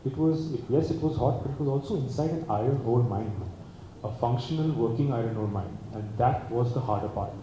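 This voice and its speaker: A man's voice: mid-range voice, brisk. A man, speaking reflectively and articulately about making a film, thinking aloud at a press meet. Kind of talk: press conference answers. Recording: echoey hall with background noise.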